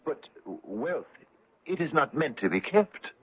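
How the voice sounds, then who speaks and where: An actor playing a dreamy, idealistic, poor father, fervent and excitable, in an old-time radio drama. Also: on the high side, slow pace, slightly rough, full character voice. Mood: earnest, pleading. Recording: tinny, noisy old radio recording.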